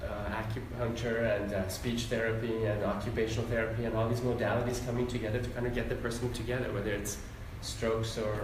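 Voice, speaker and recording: A man's voice: fairly low pitch, fast talker, hardly any pauses, background noise. Man, Midwestern accent, casual interview guest, rambling, distant mic, echoey room. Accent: Midwestern American. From Iran, raised in Ohio. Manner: relaxed, rambling, many fillers. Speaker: interview guest, doctor and psychologist.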